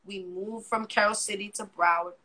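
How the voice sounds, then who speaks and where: A woman's voice: medium pitch, moderate pace, crowd noise, low-quality recording. A young woman with a New York accent, Spanish as her first language, chatting animatedly as a guest and acting out quotes. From Miami, Florida. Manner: animated, swearing, acts out quotes. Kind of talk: casual interview chat.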